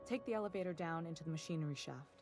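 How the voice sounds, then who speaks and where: An actress playing a brisk, wry woman boss aboard a spaceship in a video game, dry and matter-of-fact. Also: sits low. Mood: dry, businesslike. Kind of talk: video game dialogue acting. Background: music.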